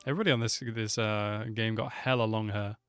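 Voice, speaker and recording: A man's voice: on the low side, steady tone, a little fast, clean tone, no room sound, faint music behind. A man in his twenties giving slangy, offhand reaction commentary into a close desk microphone. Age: 20s. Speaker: reaction video host.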